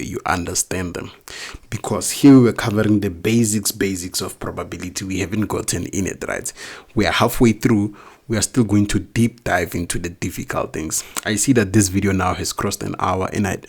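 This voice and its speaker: Accented male math tutor, low gravelly voice, walks through each step and quizzes himself aloud. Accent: non-native English accent. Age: adult, not young. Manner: explains step by step, asks questions then answers them. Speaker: math teacher. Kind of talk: recorded math lesson.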